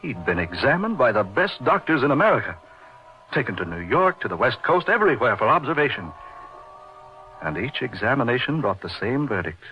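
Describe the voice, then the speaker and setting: An actor playing an intense middle-aged surgeon in an old-time radio horror drama, recounting his uncanny friend with deliberate, emphatic repetition. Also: medium pitch, big pitch swings. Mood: grave, reminiscing. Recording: dull lo-fi radio recording, music behind.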